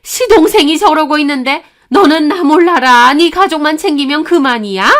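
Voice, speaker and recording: A woman voicing a furious, entitled middle-aged mother-in-law in a dramatized story, shouting accusing questions. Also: sits high, animated, normal speed, voice pushed up. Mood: furious, scolding.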